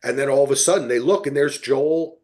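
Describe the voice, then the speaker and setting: A man talks as a podcast host in casual conversation. His voice sits at a medium pitch, and he explains things emphatically in loose, salty everyday language. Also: a little fast, darkish tone. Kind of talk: casual chat.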